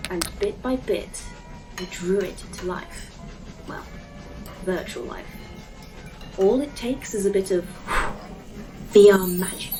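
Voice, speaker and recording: An actress playing a polite, proud artificial intelligence tour guide in a sci-fi audio drama, speaking formally and precisely. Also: female voice, mid-range voice. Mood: prickly, proud. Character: polite, proud female A.I. tour guide in lifelike human form. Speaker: actor in a sci-fi horror fiction podcast.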